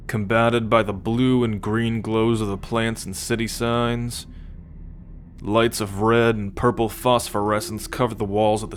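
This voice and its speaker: Man acting a cocky, slow-talking alien scout. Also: fairly low pitch, a little hiss or hum, close to an everyday voice. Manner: boastful, sardonic, slangy swearing. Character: cocky alien military scout, gruff, teasing, possessive captor. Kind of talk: ASMR roleplay acting addressed to the listener.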